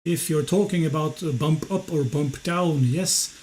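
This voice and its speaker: A man's voice: fairly high pitch, a little slow, darkish tone, a little hiss or hum. A man with a Norwegian accent, a native Norwegian speaker, arguing heatedly in an online debate. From Norway. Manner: argumentative, evasive.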